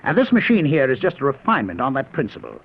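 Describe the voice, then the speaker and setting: Husky, excitable radio professor. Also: male voice, medium pitch, quick pace, full character voice. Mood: earnest, explaining. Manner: eager, explanatory, theatrical. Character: excitable, confident male professor inventing a time machine. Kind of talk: 1950s radio drama acting. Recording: phone-like old radio broadcast recording.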